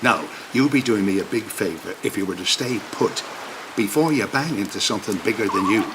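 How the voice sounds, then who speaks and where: A man's voice: on the low side, expressive, fairly quick, reverberant, background noise, close to an everyday voice. Man playing a wry Irish priest, swooping intonation. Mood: wry, firm. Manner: folksy, wry, fussing. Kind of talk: video game cutscene acting.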